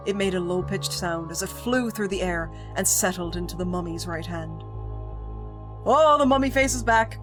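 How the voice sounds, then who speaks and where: A woman streamer reading a spooky visual novel aloud, breaking into jokey, excitable reactions between calm narration, with music behind her. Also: fairly low pitch.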